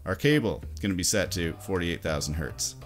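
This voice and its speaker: Faint music plays behind a man in his thirties with a fairly low voice. He talks casually and informally, walking through a software tutorial step by step. He sounds close to a desk microphone. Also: animated, a little fast. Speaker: tutorial host. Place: indoors at a computer desk.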